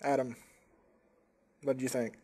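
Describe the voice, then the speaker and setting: A man's voice: mid-range voice, little pitch movement, measured pace, warm tone. A man hosting a casual group discussion, asking for opinions and giving his own. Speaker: host of a group reading show.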